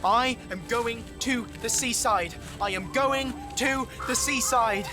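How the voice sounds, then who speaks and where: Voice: medium pitch, takes it slowly, music in the background, close to an everyday voice. An actor voicing a timid young male animal hero in a storybook audio drama, fretful and anxious but plucky, with old-fashioned children's-book diction. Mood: nervous self-reassurance.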